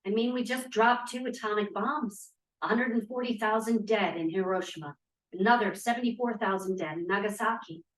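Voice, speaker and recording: A woman's voice: medium pitch, normal speed, close to an everyday voice. A woman acting as an outspoken, sardonic young feminist of the 1940s in a script read-through. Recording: distant, echoey room microphone.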